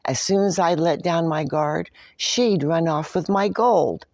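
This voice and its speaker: A woman's voice: deep voice, unhurried, darkish tone, no room sound. Lady reading as a smug little leprechaun, grumbly and dramatic storybook telling, dry close sound. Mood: worried, betrayed. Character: Mackenzie O'Shamrock, smug, grumbling, secretly soft-hearted leprechaun. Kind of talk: first-person picture-book read-aloud.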